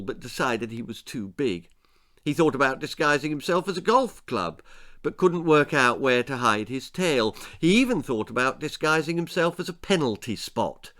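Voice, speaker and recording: A man's voice: mid-range voice, a bit bright. An English man reading his own comic children's story aloud as a dramatic narrator who relishes each detail.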